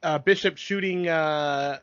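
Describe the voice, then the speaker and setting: A man's voice: on the high side, slow pace, fairly bright tone, dry recording, lo-fi sound. A man co-hosting a podcast, talking casually as he recaps a show. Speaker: podcast co-host. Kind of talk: casual chat recapping a TV cartoon.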